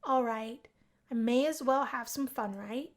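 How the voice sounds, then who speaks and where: A shy young college woman, voiced by a woman reader in a visual novel. Her voice is high and her delivery tentative, as if never quite sure of herself. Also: measured pace, natural voice. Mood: unsure. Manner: hesitant, tentative.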